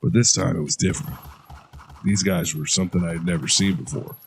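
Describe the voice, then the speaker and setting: A man's voice: low voice, fairly even intonation, a little fast, gravelly, a little hiss or hum. A man playing a hardened veteran soldier who talks in a blunt, profane way. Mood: gruff, recounting. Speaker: interrogated war veteran. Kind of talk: audio drama acting.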